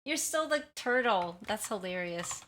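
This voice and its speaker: A woman's voice: mid-range voice, lively intonation, measured pace, pushed and bright, a little hiss or hum. A woman livestreamer chatting casually with her viewers, rambling and often apologizing, on a sensitive desktop mic in a room at home. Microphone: desktop condenser mic at high sensitivity.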